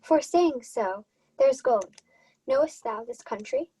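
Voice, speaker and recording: A woman's voice: high-pitched, animated, takes it slowly, mellow, breathy edge, exaggerated voice. A student actress playing a shipwrecked young noblewoman, grieving yet resolute, in a Shakespeare radio play. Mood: curious.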